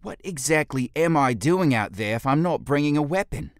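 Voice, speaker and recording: A man's voice: a little high, lively intonation, moderate pace, dry recording, clean studio sound, voice pushed up, lightly acted. A man voicing a scruffy male ape watchman in an audiobook, servile yet cheeky and rustic in manner. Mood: grudging, servile.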